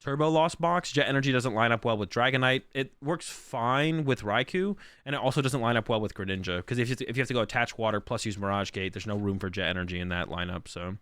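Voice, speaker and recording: A man's voice: mid-range voice, fast, hardly any pauses, a bit bright, clear, resonant tone, no room sound, clean studio sound. A man who is sick and losing his voice, rambling through casual stream commentary with lots of fillers. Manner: rambling, filler-heavy, thinks aloud.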